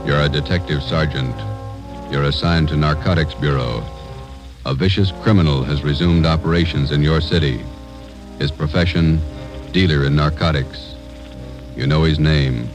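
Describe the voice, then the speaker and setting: Male 1950s radio narrator, bottomless bass pitch, solemn and deliberate, over clear music. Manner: grave, deliberate. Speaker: radio drama announcer.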